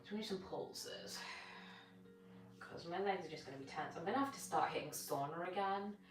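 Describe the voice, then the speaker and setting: A woman's voice: fairly low pitch, moderate pace. A British woman whose first tongue was Gujarati, chatting in a rambling, informal way with live viewers as a fitness streamer while stretching. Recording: roomy, distant mic with music behind. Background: music.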